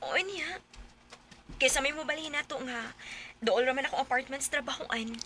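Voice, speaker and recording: A woman's voice: high voice, moderate pace, pushed and bright, slight background noise. An actress playing a reserved young working woman in a radio drama, with a Cebuano accent. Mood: coy, pleased. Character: Nalin, young working woman, reserved girlfriend telling her story.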